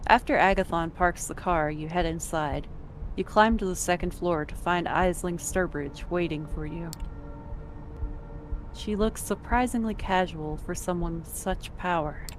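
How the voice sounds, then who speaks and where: A woman's voice: fairly low pitch, a little slow, noisy background. A woman narrating a visual novel as a scripted story.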